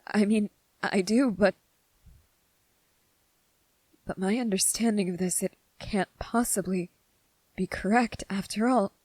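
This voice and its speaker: A woman voicing a gentle, earnest young male soldier in a soft-spoken, halting ASMR roleplay. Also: mid-range voice, fairly even intonation, dry recording, close to an everyday voice. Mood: disbelieving. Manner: hesitant, halting, repeating phrases, earnest.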